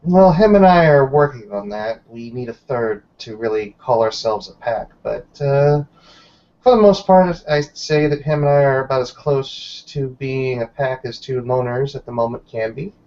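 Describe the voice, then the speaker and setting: Man roleplaying thoughtful loner werewolf: evasive, measured, hesitant, medium pitch, lo-fi game-session recording. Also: darkish tone, lightly acted. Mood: guarded, dry. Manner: halting, measured, musing. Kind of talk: improvised tabletop roleplay dialogue. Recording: dull lo-fi voice recording.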